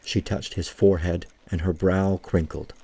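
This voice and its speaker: A man narrating a scripted story for an audio drama, with a husky voice and a measured, gentle storytelling manner. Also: deep voice, little pitch movement.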